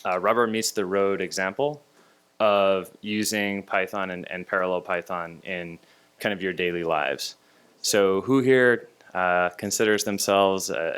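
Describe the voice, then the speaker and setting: Low-voiced man from Hawaii, hesitant. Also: a bit bright, smooth. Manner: hesitant, many filler ums and uhs. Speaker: tutorial instructor. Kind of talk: self-introduction and audience polling. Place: conference tutorial room.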